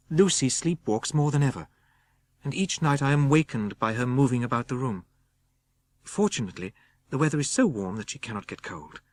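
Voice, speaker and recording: A man voicing an anxious young Englishwoman confiding in her journal, in a careful, gentle, worried audiobook reading. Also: mid-range voice, natural voice. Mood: growing worry.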